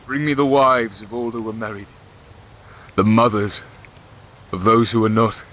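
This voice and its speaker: An actor playing a grieving, exhausted, merciless king in a fantasy audio drama, speaking formally and with deliberate command. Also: fairly low pitch, steady tone, fairly bright tone, a little hiss or hum. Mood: cold, commanding.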